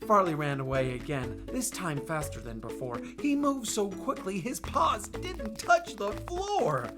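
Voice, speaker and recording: Animated, unhurried woman reading a children's story. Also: medium pitch, music in the background. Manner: playful, animated, addresses listeners directly. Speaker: storytime host and book reader. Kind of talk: expressive children's picture-book read-aloud.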